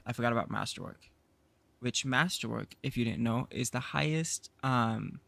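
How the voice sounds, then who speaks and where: Raspy, just-woken-up male streamer. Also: mid-range voice, fairly bright tone, no room sound. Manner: rambling, self-deprecating, with frequent fillers and laughs. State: groggy, just woke up. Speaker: game streamer. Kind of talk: casual live-stream gameplay commentary.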